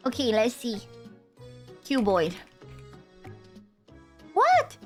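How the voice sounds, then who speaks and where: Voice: mid-range voice, wildly swooping pitch, drawn-out words, fairly bright tone, faint music behind. A young adult VTuber streamer with a Romanian accent, chatting with viewers in a goofy, rambling, playful way. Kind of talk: casual live-stream chat.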